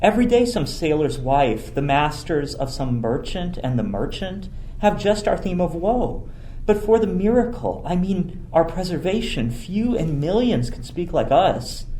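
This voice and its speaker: An actor playing an optimistic, consoling courtier in a Shakespearean radio play, measured and persuasive. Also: medium pitch, sing-song, warm tone. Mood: consoling, hopeful. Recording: roomy echo, faint noise.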